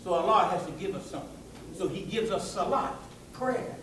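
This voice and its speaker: A man preaching an animated, folksy sermon to listeners, tossing out rhetorical questions and colloquial asides. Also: sits high, fairly quick, slight background noise. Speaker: preacher giving a public religious address. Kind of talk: animated sermon with call-and-response to a live audience. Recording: echoey hall, distant microphone.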